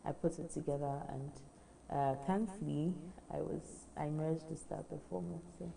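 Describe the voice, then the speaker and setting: Deep, gravelly-voiced woman from Ghana. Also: steady tone, moderate pace, dark tone, slight background noise, rough recording. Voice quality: naturally deep voice. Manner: hesitant, many fillers and restarts. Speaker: pageant contestant. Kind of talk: casual interview chat.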